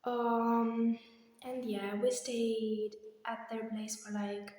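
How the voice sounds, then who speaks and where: Slow-talking young woman in a very echoey room. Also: on the high side, level tone, dark tone. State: slightly hoarse. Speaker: vlogger. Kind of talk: casual personal chat, rambling updates. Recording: very echoey, reverberant empty room.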